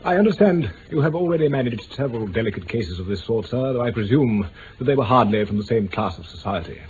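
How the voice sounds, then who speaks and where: An actor playing a pompous, easily affronted aristocrat in a radio drama, with an upper-class English accent and a stiff, hesitant delivery. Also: male voice, mid-range voice, animated, fast talker, a little mellow, lightly acted. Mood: wounded, haughty. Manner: pompous, stiff, hesitant over delicate words. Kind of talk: 1950s-60s BBC radio drama acting. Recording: muffled, hissy old radio recording.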